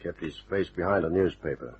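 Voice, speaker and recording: An actor playing a stern, skeptical police inspector in a radio crime drama, speaking in a deliberate, doubting way. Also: male voice, sits low, slightly rough, highs cut off. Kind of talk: old-time radio crime drama acting.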